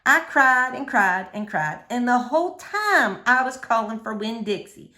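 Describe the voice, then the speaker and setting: A woman reading a children's novel aloud as expressive story narration. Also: on the high side, unhurried. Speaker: storybook reader.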